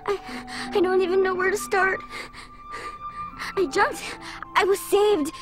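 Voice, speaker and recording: A woman's voice: very high voice, steady tone, a little slow, music in the background. A young woman voicing an earnest, emotional young woman in an English-dubbed video game drama. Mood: tearful, pleading. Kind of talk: dramatic English dub voice acting.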